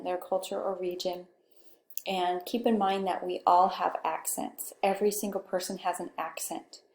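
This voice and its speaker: A soft-spoken woman with a Pacific Northwest accent giving a conversational recorded course lecture, often checking in with "okay". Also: mid-range voice, echoey room. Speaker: instructor.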